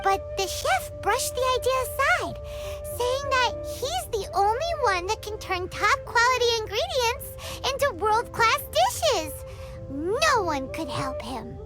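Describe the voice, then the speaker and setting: A woman voicing a tiny, excitable female fairy companion in a game cutscene, telling a story in a playful, animated way. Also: unhurried, full character voice. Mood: storytelling. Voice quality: high squeaky childlike voice. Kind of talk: video game cutscene dialogue. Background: loud game music.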